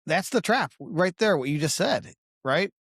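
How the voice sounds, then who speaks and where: Man, lively intonation, dry sound, podcast host, casual conversational interjections. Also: sits high, measured pace, husky, dry recording.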